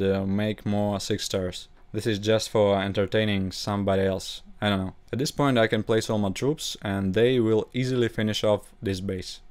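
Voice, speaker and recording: Young male gaming host, Russian accent, lowish voice, non-native English, home-recorded voice-over commentary. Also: darkish tone, clean tone. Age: young adult. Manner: halting non-native English with grammar slips.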